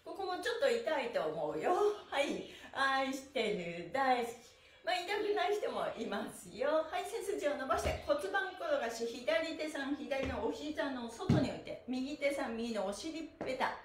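Woman, middle-aged, exercise instructor, very lively intonation, cheerful sing-song chanting, distant mic in a small room. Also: sits high, moderate pace, a little hiss or hum. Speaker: stretching instructor. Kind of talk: upbeat exercise class with rhythmic counted chants. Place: small bare-walled room indoors, on a floor mat. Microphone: camera a few metres away. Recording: roomy echo, distant microphone.

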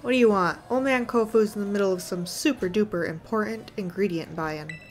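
A woman's voice: mid-range voice, brisk, soft background music, close to an everyday voice. Woman doing cocky young guy apprentice, brash, taunting, boastful.

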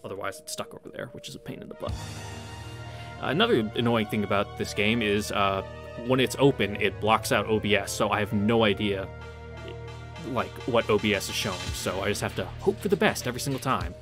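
Man, slightly low voice, casual let's play narration, halting wry comments, game music behind. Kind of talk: casual read-through of a visual novel with commentary.

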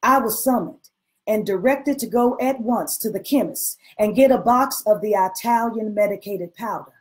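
A woman giving an expressive, theatrical reading of a period novel, pausing deliberately between phrases. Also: medium pitch. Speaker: reader at a literary event. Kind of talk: expressive dramatic reading of a 19th-century novel. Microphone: video call.

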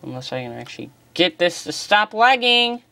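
A channel host giving chatty, excitable game commentary, explaining features and joking about their own slips, recorded on a phone filming a screen. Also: mid-range voice, moderate pace, creaky. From United States. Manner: chatty, excitable, self-deprecating. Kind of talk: casual game commentary tutorial.

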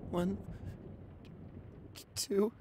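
A man's voice: drawn-out words, background noise. Shy, stammering young boyfriend, acted by a man. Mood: anxious. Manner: hesitant, stammering, soft-spoken. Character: shy, anxious young boyfriend frightened of thunderstorms. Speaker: audio roleplay performer. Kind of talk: boyfriend ASMR roleplay acting.

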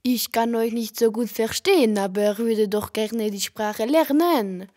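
A woman's voice: on the high side, measured pace, darkish tone, natural voice. A woman acting a curious, stubborn young foreign newcomer in an amateur radio play. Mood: curious, earnest.

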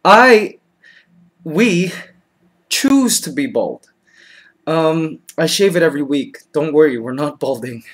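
A man's voice: fairly high pitch, expressive, slow, long pauses, a bit bright. A man in his thirties talking in a comedy vlog, patient and laughing, recorded close on a webcam in a small bedroom. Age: 30s. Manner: patient then exasperated, laughing. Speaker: comedy vlogger.

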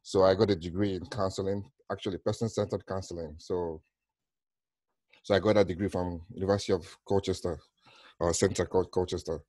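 A man's voice: low-pitched, fairly even intonation, a little fast, a little mellow, dry recording. A middle-aged man with a Nigerian accent, answering interview questions conversationally and often rephrasing himself as he goes. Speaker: counsellor, interview guest. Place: small room at home, on a video call. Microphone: headset.

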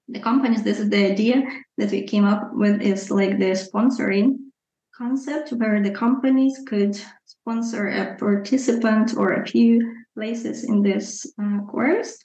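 A woman in her thirties speaks English with a Ukrainian accent, pausing often and filling gaps with ums. Her voice carries a gravelly fry. She sounds muffled and roomy, as if on a remote call. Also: mid-range voice, a little mellow. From Luhansk, eastern Ukraine. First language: Russian. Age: 30s. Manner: hesitant, many ums, careful phrasing. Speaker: guest. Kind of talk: interview answers.

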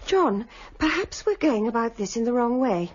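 An actress playing a gentle, shrewd doctor's wife in a radio drama, affectionate and practical. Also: female voice, fairly high pitch, big pitch swings, moderate pace, breathy edge, lo-fi sound. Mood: soothing, concerned.

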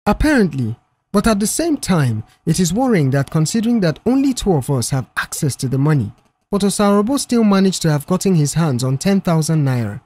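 Audiobook role: reserved young male mechanic, measured and matter-of-fact, husky, read by a narrator. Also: mid-range voice, very animated, dark tone. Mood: serious, probing.

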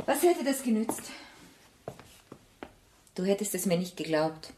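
An actress playing a grave, disillusioned woman in a radio drama, with a husky, breathy voice and measured, sombre delivery. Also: medium pitch, very animated, noisy background. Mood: firm, resigned. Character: disillusioned, grave woman ending a love affair. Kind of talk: German radio play acting, intimate dialogue.

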